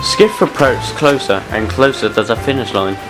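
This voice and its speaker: A man's voice: mid-range voice, animated, moderate pace, over loud music, low-quality recording. A man reading scripted narration for a children's style audio drama story.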